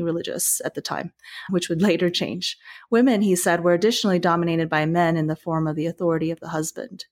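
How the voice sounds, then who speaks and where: A woman from Taiwan with a Taiwanese accent, a filmmaker guest on a podcast, explaining thoughtfully and sometimes restarting mid-phrase. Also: on the low side. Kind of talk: podcast discussion.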